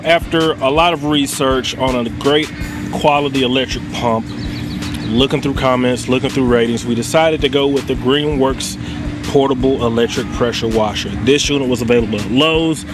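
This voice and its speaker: A man's voice: mid-range voice, normal speed, over music. Upbeat man unboxing gear outdoors. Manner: upbeat and conversational, narrating each step. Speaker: pitmaster and channel host. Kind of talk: casual product unboxing and review. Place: outdoors in a yard or driveway.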